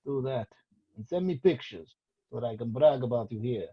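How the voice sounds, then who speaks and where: Flippant young boyfriend played by a man, lively pitch. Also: normal speed, breathy edge. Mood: teasing. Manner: flippant, needling. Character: scruffy, teasing, reckless 28-year-old boyfriend. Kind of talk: amateur script table read. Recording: dull lo-fi recording.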